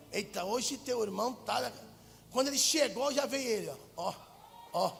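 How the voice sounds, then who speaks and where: A Brazilian Portuguese-speaking male pastor preaching fervently, swinging between shouted lines and quiet asides in a large church. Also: very high voice, animated, unhurried, creaky. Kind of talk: preaching a sermon. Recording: hall reverb with faint music.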